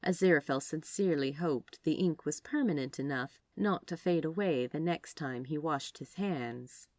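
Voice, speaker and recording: A woman's voice: deep voice, normal speed, a little mellow, no echo. A woman narrating scripted fiction expressively, as a storyteller reading aloud.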